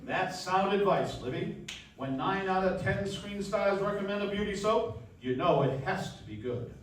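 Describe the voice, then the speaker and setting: A man performs as a polished 1940s radio host with a high-set voice. The delivery is formal, projected and genial, full of swooping inflection. It comes from a live stage, distant and very reverberant. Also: lively intonation, moderate pace, a little hiss or hum. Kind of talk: 1940s radio acting, scripted announcing. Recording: echoey hall, distant mic, live stage.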